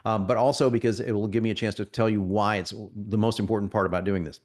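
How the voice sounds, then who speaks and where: Sniffly man giving a casual software demo. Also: lowish voice, steady tone, fast. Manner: conversational, salesy, frequent restarts and stumbles. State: getting over a cold, sniffly. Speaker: marketing workshop presenter. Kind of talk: live software demo to an audience.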